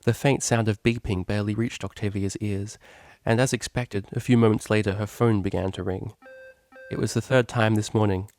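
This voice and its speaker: A man's voice: fairly low pitch, fairly even intonation, moderate pace, a little mellow, no room sound. A man reading fan fiction aloud as scripted story narration.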